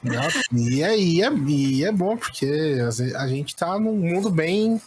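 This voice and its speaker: A man with a Brazilian accent chatting casually as a podcast host, halting and often stammering over the starts of words. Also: fairly high pitch, animated, darkish tone, slight background noise. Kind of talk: casual chat.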